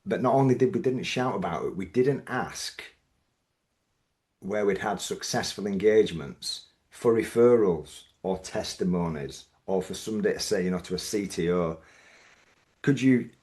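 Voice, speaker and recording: A man with a Yorkshire accent, a business owner talking as a podcast guest, thoughtful and measured, often restarting his sentences. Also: low-pitched, darkish tone. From Yorkshire, England. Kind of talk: reflective podcast interview.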